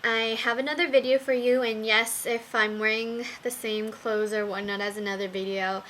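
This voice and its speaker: A young woman reviewing makeup brushes in a casual vlog, talking slowly with many ums while her voice wears out. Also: fairly high pitch, steady tone, fairly bright tone, a little hiss or hum. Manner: slow, with many ums and fillers. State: losing her voice. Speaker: beauty vlogger.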